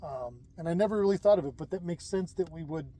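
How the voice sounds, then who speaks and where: Relaxed outdoorsy man with a mellow voice. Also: fairly high pitch, fast talker. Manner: relaxed, rambling with fillers and restarts. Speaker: fishing show host. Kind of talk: casual outdoor chat and demonstration. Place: lakeside outdoors.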